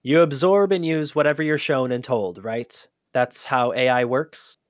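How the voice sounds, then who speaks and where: An actor playing an inquisitive human archivist in an audio drama, with a conversational, halting, often exasperated manner. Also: mid-range voice, dry recording, studio quality, natural voice. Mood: wry, weary. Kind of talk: scripted audio drama acting.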